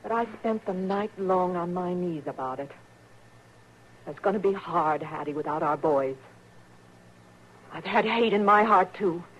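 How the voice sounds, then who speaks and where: A woman's voice: fairly low pitch, unhurried, long pauses, close to an everyday voice. An American woman playing a devout, sorrowful frontier mother in a radio western, speaking with earnest, soothing compassion. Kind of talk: 1950s radio western acting. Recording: noisy old radio recording.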